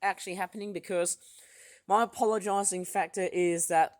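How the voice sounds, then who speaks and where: A vlogger with a hoarse, sore throat, narrating a casual outdoor tour of a backyard garden in a rambling, excitable way, filming on a tablet. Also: medium pitch, normal speed, no room sound, clean studio sound. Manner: rambling, filler-heavy, excitable.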